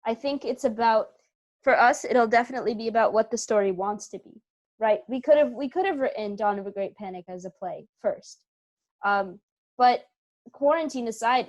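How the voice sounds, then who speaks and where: A woman's voice: medium pitch, darkish tone. A woman actor being interviewed, speaking conversationally and thoughtfully, repeating phrases and checking in with "right?". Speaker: interviewed actor from a theater company. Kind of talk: earnest conversational interview answers.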